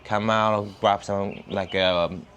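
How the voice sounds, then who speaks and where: Low-voiced chinese guy, laid back, hesitant english, faint noise around. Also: dull audio. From Hunan, China. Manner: relaxed, halting English with fillers. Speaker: interview guest. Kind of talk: casual chat.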